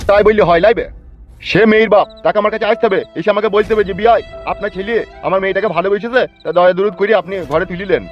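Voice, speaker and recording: Guy doing proud village dad in a cartoon, rural west bengal accent, high pitched and argumentative, lo-fi sound with music. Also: fast talker, lightly acted. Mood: proud, stubborn. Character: proud, stubborn village father and husband. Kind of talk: comic cartoon dubbing. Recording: dull lo-fi audio, music behind.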